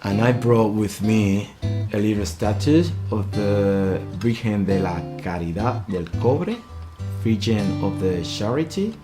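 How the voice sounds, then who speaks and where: A man giving a measured introduction of himself. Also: on the low side, music in the background. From Cuba. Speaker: interviewee.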